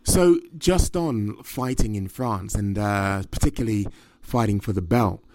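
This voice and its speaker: A man's voice: on the low side, a little slow, darkish tone, dry recording. Male sports interviewer, dry close sound, long leading questions. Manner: leading questions, slightly formal phrasing.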